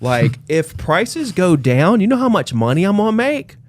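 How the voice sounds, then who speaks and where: A man's voice: high voice, big pitch swings, normal speed, slight background noise. A man co-hosting a casual podcast chat, talking loosely with lots of fillers and restarts. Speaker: podcast co-host, real estate agent.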